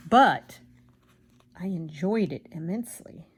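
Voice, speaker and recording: Chatty older woman, lowish voice. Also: animated, slow pace, slightly rough. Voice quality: loud, projecting voice. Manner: chatty, rambling, self-deprecating. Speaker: craft vlogger. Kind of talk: casual chat while embroidering.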